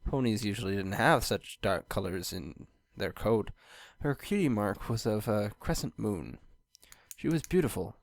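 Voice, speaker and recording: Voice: mid-range voice, expressive, measured pace, dry recording. A narrator reading a scripted fan fiction story aloud.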